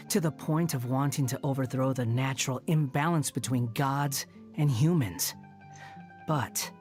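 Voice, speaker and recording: A low-pitched, haughty game villain type, dry and sardonic in delivery. Every phrase drips with condescension, over faint background music. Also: female voice, a little mellow. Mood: dismissive. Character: haughty, sardonic young wanderer, a former villain with mechanical parts. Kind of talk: video game character voice-over.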